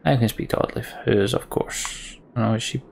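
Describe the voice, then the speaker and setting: A man's voice: mid-range voice, a little fast, creaky, a little mellow, a little hiss or hum. A man hosting a let's play, reading game text aloud and commenting casually with dry asides.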